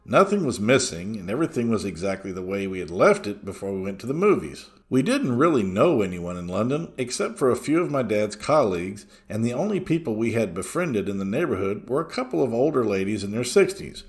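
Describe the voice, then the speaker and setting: A man's voice: fairly low pitch, very animated, brisk, hardly any pauses. A man reading a first-person true story as a scripted narration, in a storytelling style.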